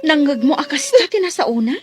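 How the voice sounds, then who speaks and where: An actress with a Filipino accent playing a sympathetic young maid and friend in a radio drama, expressive and questioning. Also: female voice, high voice, fairly quick, a little hiss or hum. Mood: sympathetic, curious.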